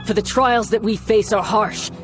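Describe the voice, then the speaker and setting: A man playing a cocky young warrior commander and doting big brother in a video game, with a theatrical, smooth-talking, authoritative manner. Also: high-pitched, fairly even intonation, normal speed, close to an everyday voice. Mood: commanding, stern. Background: background music.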